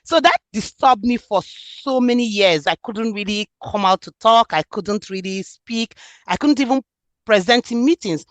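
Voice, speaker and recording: A woman's voice: fairly high pitch, moderate pace, no room sound. A woman from Nigeria with a Nigerian accent, speaking earnestly and reflectively in an interview about her book. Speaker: author and student. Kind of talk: interview conversation.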